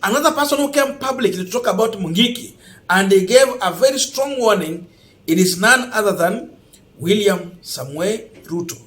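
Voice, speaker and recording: High-pitched Kenyan man, emphatic political commentary. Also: lively intonation, fairly bright tone. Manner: emphatic, deliberate, repeats points for stress. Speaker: host of an online political commentary channel. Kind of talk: political news commentary to camera.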